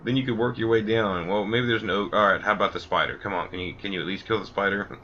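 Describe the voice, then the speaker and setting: A man's voice: lowish voice, fairly even intonation, quick pace, sharp tone, highs cut off, a little hiss or hum. A man giving casual let's play commentary, wry and chatty, talking back at the game. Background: game music.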